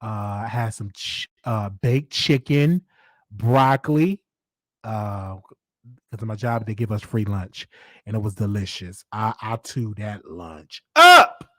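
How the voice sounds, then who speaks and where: A man's voice: fairly low pitch, slow pace, bright, forceful tone, dry recording. A man in his thirties with a Southern US accent, casually chatting with viewers as host of a live stream, laughing often and repeating himself. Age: 30s.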